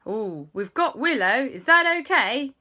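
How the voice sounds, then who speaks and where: A woman's voice: on the high side, big pitch swings, measured pace, pushed and bright, voice pushed up, full character voice. A woman playing a casual, upbeat uncle in a children's story. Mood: breezy. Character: breezy visiting uncle, casual and reluctantly affectionate.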